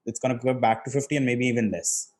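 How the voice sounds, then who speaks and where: A man's voice: medium pitch, steady tone, quick pace. A man hosting a podcast, asking casual, curious questions. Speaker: podcast host. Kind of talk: casual interview chat.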